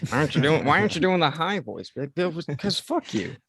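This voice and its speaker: A man's voice: on the high side, lively intonation, fast talker, a bit bright, slightly rough, dry recording. A man chatting casually as a cast member at a table read. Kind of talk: casual chat.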